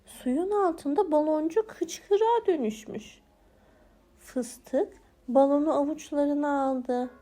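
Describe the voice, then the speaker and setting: Female children's storyteller, very high and unhurried, for bedtime tales. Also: warm tone. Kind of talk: scripted bedtime story narration for small children.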